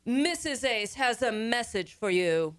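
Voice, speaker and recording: A woman's voice: on the high side, expressive, a little slow. An actress playing a bossy, scolding married woman in an old-time radio comedy, speaking imperiously. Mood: bossy, fussy. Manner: imperious, insistent.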